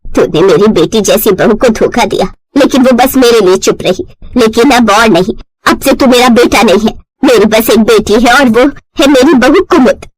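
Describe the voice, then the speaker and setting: A woman voices an older mother-in-law in a moral storybook tale. She sounds emotional and wronged, with a stern edge, in a slightly high female voice. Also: normal speed, husky, no room sound, low-quality recording. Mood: stern, hurt.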